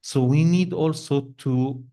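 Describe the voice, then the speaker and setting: A man whose first language is Arabic, answering on a panel in halting English with many restarts. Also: medium pitch, dark tone. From Syria. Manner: halting, many restarts and fillers, non-native grammar. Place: event hall.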